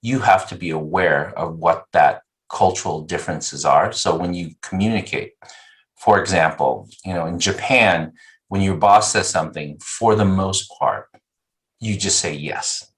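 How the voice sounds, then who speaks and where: A man raised in the United States, a nonprofit executive giving measured, explanatory interview answers. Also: low-pitched, a bit bright. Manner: measured, explanatory, uses "you know" and "right?" often.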